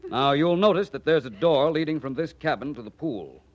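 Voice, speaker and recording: An actor playing a suave, self-assured male detective who explains things politely and deliberately in 1940s radio drama style. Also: fairly high pitch, expressive. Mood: commanding. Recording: muffled, noisy 1940s radio recording.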